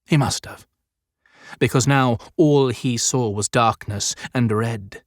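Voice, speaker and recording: A male audiobook narrator with a mid-pitched voice, recorded dry and close. He reads scripted fiction dramatically, leaning on key words to build suspense. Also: no room sound.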